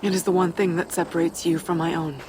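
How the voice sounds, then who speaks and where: A woman playing a proud, defiant giantess, a tyrant's daughter, measured and wry in a video game cutscene. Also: lowish voice, little pitch movement, mellow, slight background noise, close to an everyday voice, poor audio. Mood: cool resolve.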